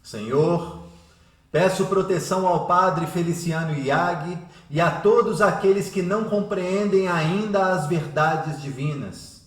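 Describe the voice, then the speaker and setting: A soft-spoken, devout teacher around thirty in a radio drama. He reasons calmly and very slowly in a high voice, with clear room echo. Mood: calm, prayerful. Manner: gentle, measured, reasoned oratory.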